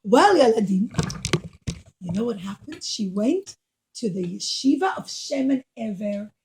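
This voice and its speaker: A woman with a high voice tells a children's puppet story. She speaks in simple, expressive phrases and encourages her young listeners like a teacher. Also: a little slow, noisy background.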